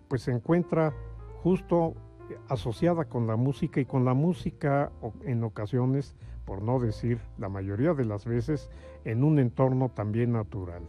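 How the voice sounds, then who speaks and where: A man hosting a music interview, phrasing his thoughts in a halting, drawn-out and effusive way. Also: medium pitch, warm tone. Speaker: interview show host.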